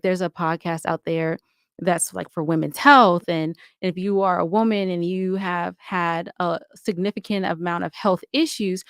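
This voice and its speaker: A woman's voice: fairly low pitch, clean tone, dry recording, clean studio sound. A woman podcaster speaking conversationally as an interview guest, giving advice in an emphatic, opinionated way.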